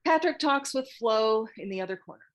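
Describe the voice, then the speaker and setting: A woman's voice: fairly high pitch, animated, a little fast. A woman reading scripted screenplay narration, stage directions and scene headings as a narrator.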